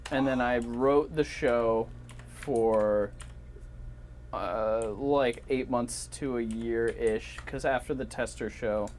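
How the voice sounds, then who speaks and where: Male co-host, casual and hesitant, thinking out loud, mid voice, faint room noise with keyboard sounds. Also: mid-range voice, fairly even intonation, slow pace. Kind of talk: casual chat.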